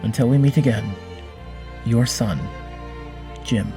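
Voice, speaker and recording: Audio drama android hero played by a man: earnest, formal, soft dark tone, faint music beneath. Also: medium pitch, takes it slowly, close to an everyday voice. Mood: earnest, tender. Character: earnest, formal, gentle male android superhero.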